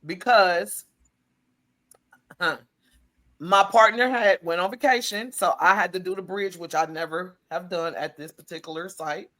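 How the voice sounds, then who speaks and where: A tired woman podcast co-host with a slightly raspy voice, telling stories in casual chat and acting out her own exchanges. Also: lowish voice, measured pace, a bit bright. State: tired from overwork.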